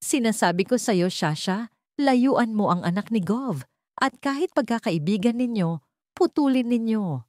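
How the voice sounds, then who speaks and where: A woman's voice: mid-range voice, no room sound, studio quality, pitched above the performer's own voice, natural voice. A woman voicing a scheming, domineering stepmother in a dramatic audio storybook, with a scolding, insistent manner. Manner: scolding, accusatory, sharp-tongued. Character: scheming, domineering stepmother with false sweetness and venom.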